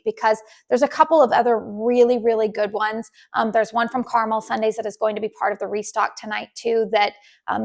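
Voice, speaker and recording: Raspy woman chatting about candles. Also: fairly high pitch. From United States. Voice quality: raspy, losing her voice. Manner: chatty, many ums and restarts. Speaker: candle channel host. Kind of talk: casual chat to camera.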